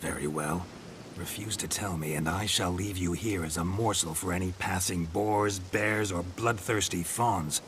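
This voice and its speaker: A man's voice: deep voice, measured pace, a little hiss or hum. An actor playing a possessed monster hunter with a haughty nobleman's ghost inside, mocking and archly formal, in a video game cutscene. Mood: scornful. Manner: mocking, archly formal, old-fashioned speech. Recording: game mix with loud ambience.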